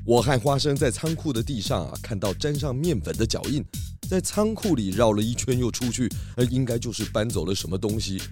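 A man's voice: medium pitch, lively intonation, a little fast, over music, natural voice. A man playing a confident pig detective explaining a case in a children's audio drama. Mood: accusing.

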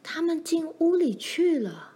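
A woman voicing a fearful, cautious young girl in a storybook, speaking in a soft, timid whisper. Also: very high-pitched, big pitch swings, a little slow, pitched above the performer's own voice, exaggerated voice. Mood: frightened whisper. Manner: timid, hushed.

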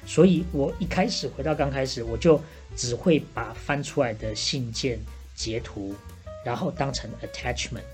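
A woman's voice: extremely low pitch, moderate pace, darkish tone, faint music behind. A woman with a Taiwanese accent hosting a casual chat and giving practical advice. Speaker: podcast host playing bartender and advisor.

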